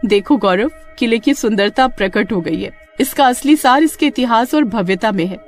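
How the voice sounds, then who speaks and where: A woman's voice: a little high, animated, moderate pace, soft background music, close to an everyday voice. A woman playing a cautious, earnest young female adventurer in a dramatic story. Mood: relieved.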